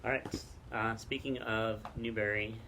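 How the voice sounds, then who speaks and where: A man casually recommending books outdoors, halting and hesitant as he pauses to think. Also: lowish voice, steady tone. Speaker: book recommender, teacher. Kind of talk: casual book recommendation chat. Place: outdoors in the wind. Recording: very loud wind noise, clicks, outdoor video.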